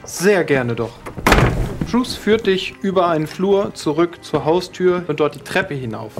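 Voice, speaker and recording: A man's voice: high voice, animated, moderate pace, over loud music. A man narrating a tabletop role-playing game as game master.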